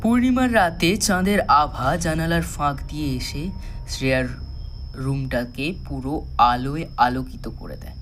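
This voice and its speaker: A male narrator with a slightly high voice tells a thriller story. He phrases it dramatically, with measured pauses, over soft background music.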